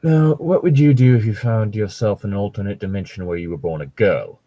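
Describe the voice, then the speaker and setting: A man's voice: lowish voice, a little fast, mellow, no echo, lo-fi sound. A man co-hosting a podcast in casual banter and mock story reading, with a wry, sweary manner and a fondness for puns. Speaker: podcast co-host.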